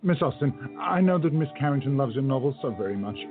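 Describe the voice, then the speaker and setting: A man playing a courtly, resolute time-travelling gentleman in a radio play, with formal, emphatic speech. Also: medium pitch, lively intonation, fast talker, narrow, tinny audio, music in the background, natural voice. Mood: courteous, effusive.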